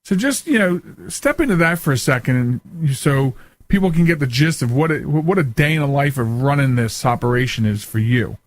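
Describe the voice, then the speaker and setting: A man's voice: mid-range voice, animated, brisk, dry recording. Conversational male radio host, close dry sound. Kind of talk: conversational interview hosting.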